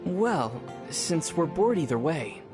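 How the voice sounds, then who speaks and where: A man voicing a calm, dry-witted, gently teasing young traveler in a video game. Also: fairly high pitch, slow pace, over music. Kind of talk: video game character acting.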